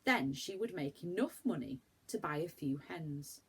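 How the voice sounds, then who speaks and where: A woman reading a children's story aloud in an expressive, deliberate storytelling manner. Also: low-pitched, slight background noise.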